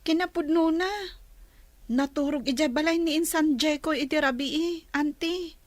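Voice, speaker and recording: Woman acting high-voiced, caring schoolgirl. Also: steady tone, moderate pace, no echo, lightly acted. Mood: polite. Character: soft-hearted schoolgirl, caring young female cousin. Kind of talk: Ilocano radio drama acting.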